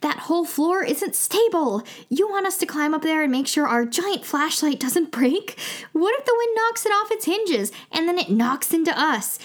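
An actress playing a wry, dramatic broadcaster on a remote watchtower in an audio drama, with a wordy, theatrical delivery. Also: female voice, extremely high pitch, big pitch swings, moderate pace. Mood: sarcastic alarm. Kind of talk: audio drama acting with storyteller monologues.

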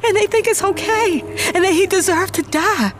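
A woman playing a grieving, bitter mother in an audio drama, emotional, wounded and halting. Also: very high voice. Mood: anguished.

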